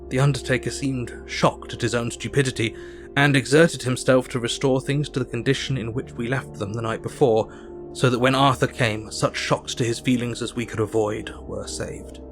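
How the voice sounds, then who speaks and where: A man's voice: mid-range voice, fairly even intonation, music in the background, close to an everyday voice. Man acting a sombre doctor. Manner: measured, literate, melancholy. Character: sombre, reflective male Victorian doctor narrating his diary. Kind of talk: dramatised diary narration.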